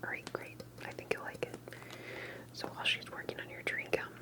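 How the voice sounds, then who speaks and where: A woman performing a whispered ASMR roleplay as a secretive, cautious investigator, speaking in a hushed and hesitant way with soft pauses. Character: secretive, cautious investigator interviewing an alien abductee.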